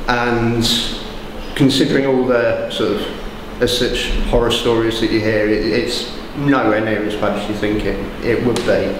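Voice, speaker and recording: A man in his thirties, a hostel resident speaking in a measured, hesitant way in a documentary interview. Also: lowish voice, little pitch movement. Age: 30s. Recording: echoey hall with noise.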